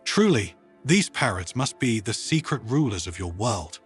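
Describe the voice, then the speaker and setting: A man's voice: medium pitch, big pitch swings, normal speed, husky, faint music behind. A man voicing an earnest, insistent bird-like alien in a narrated sci-fi story, solemnly convinced. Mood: awed.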